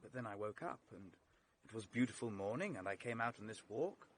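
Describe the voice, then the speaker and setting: An actor playing an earnest, flustered English gentleman, agitated and indignant, in a vintage British radio drama. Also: on the low side, expressive, fairly quick. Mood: subdued, defensive.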